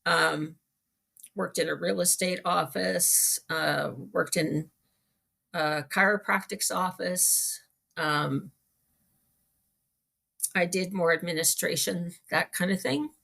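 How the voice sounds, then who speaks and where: A middle-aged Canadian woman with a low, gravelly edge talks as an interview guest. She tells her story casually and very slowly, pausing often to find her words. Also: steady tone, pushed and bright. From small-town Manitoba, Canada.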